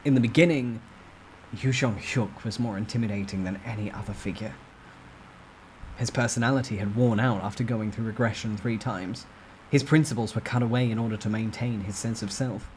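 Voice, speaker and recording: A man narrating a first-person novel in a dramatic, expressive storytime ASMR style. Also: on the low side, a little fast, slight background noise, rough recording.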